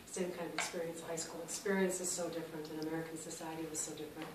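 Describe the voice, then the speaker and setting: Woman moderating a panel, talks fast, lowish voice, asks questions, echoey hall, far mic, noisy. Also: level tone. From Britain. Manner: asks questions, relates to others. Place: large hall.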